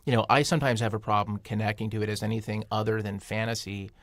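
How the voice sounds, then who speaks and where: A man giving casual film commentary on a panel, with a halting, wry delivery. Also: on the low side, little pitch movement, dry recording, clean studio sound. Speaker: podcast panelist.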